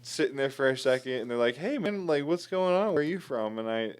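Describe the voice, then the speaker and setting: A man in his twenties co-hosting a podcast, telling stories in casual chat and acting out the quotes loudly, with plenty of swearing. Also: medium pitch, brisk, clear, resonant tone. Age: 20s. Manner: animated storyteller, acts out quotes loudly, swears. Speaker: podcast co-host.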